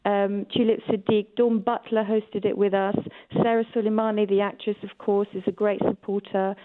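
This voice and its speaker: A woman's voice: mid-range voice, flat delivery, normal speed, narrow, tinny audio. A woman speaking as a campaigning guest in a radio interview, stressing key words emphatically. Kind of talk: radio interview, advocacy talk.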